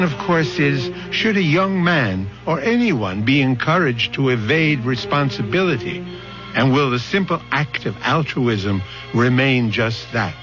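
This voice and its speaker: Portentous male radio host over music. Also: mid-range voice. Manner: deliberate, literary, portentous. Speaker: host and narrator. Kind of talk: theatrical radio drama narration. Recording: muffled old radio broadcast.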